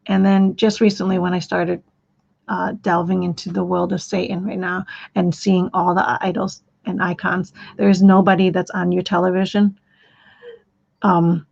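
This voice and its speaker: A woman hosts a live stream, her voice heavy with gravelly fry and a husky edge. She rambles, repeating words and restarting her sentences. The stream audio is dull and lo-fi. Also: on the low side, little pitch movement, moderate pace, a little mellow. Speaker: livestream host.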